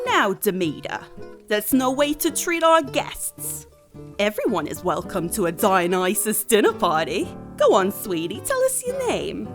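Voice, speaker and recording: A flamboyant wine goddess in a fantasy audio roleplay. Her high voice swoops dramatically up and down as she coaxes and dotes with motherly, playful theatricality. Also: exaggerated voice. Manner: coaxing, playful, doting, theatrical.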